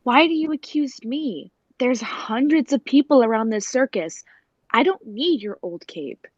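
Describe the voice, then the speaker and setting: Dry, slightly dull recording; highish female actress as a bitter, fiery circus cat tamer. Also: animated, a little slow, breathy edge, dry recording. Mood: angry. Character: jealous, hot-tempered woman big cat trainer with a whip. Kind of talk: 1940s radio acting.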